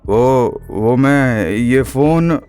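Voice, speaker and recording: A man's voice: mid-range voice, extremely slow, a little mellow, smooth, no echo, soft background music, pitched below the performer's own voice. A man voicing a comic, evasive police inspector, grumbling and hesitant, in an audio drama. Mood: cornered, defensive. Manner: hesitant, grumbling, stammering excuses. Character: shifty, cornered rustic male police inspector, comically evasive.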